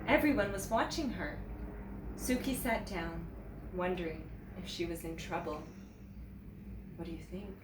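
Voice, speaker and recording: A woman teacher reading a children's story aloud in an expressive, animated way, pausing to check in with listeners. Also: fairly low pitch. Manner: expressive, pauses to ask listeners questions. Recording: roomy echo, distant mic, faint noise.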